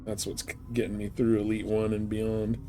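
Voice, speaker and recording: A man's voice: fairly low pitch, monotone, normal speed, dark tone, a little hiss or hum. A man chatting casually and informally as he streams a game. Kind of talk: casual chat while playing a space game live stream.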